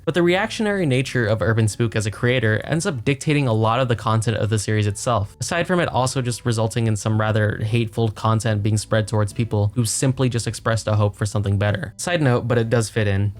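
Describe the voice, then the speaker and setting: Opinionated young man, casual video commentary. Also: mid-range voice. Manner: opinionated, casual slangy swearing. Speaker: video essayist, critic.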